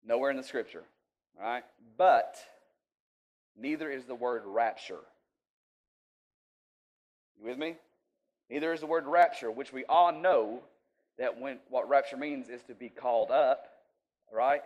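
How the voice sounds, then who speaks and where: A man's voice: medium pitch, unhurried, pauses often, darkish tone, lo-fi sound. A man preaching an informal sermon in a folksy, conversational way, checking in with his listeners often. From rural US.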